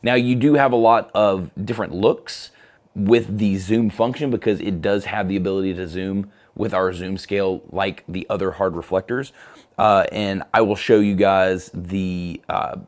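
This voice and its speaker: Hoarse, low-voiced man demoing studio gear. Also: normal speed. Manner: relaxed, chatty, with frequent fillers. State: hoarse, scratchy throat. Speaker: company product presenter. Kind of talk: casual live product demo. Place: photo studio.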